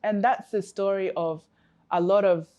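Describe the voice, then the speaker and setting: A woman's voice: mid-range voice, steady tone, measured pace, warm tone, muffled recording. A woman from Africa, a coach, answering interview questions in an earnest, reflective way with many fillers and restarts.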